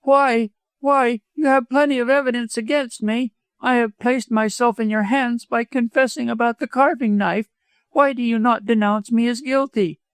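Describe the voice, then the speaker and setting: A man voicing a proud, agitated, quick-tempered young widow in an audiobook, speaking emphatically and imperiously. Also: very high voice, steady tone, smooth, dry recording, voice pushed up. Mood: agitated, defiant. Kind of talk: dramatized audiobook reading.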